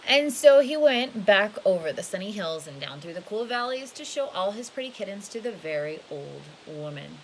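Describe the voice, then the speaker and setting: A woman with a medium-pitched voice reads a children's picture book aloud. She is expressive, draws out key words and drops in casual asides. A light hiss sits in the recording. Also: normal speed, few pauses, rough recording. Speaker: storybook reader. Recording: hissy home recording with background noise.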